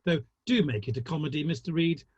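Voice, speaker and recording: A man in a play reading, playing a genial, teasing Victorian theatre manager with a husky voice. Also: a little high, quick pace, darkish tone, dry recording, muffled recording. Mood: dry teasing.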